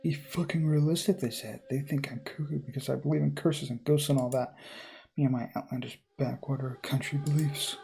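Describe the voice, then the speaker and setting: A man's voice: mid-range voice, vocal fry, dark tone, a little hiss or hum, close to an everyday voice. A man voicing a jittery, superstitious real-estate agent in a horror game, muttering to himself in exasperation. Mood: fearful, fed up.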